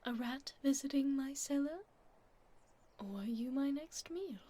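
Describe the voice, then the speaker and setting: A woman voicing a haughty but tender vampire duchess in a soft, whispered ASMR roleplay, with formal, aristocratic phrasing. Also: sits high, lively intonation, a little slow. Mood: haughty, wary.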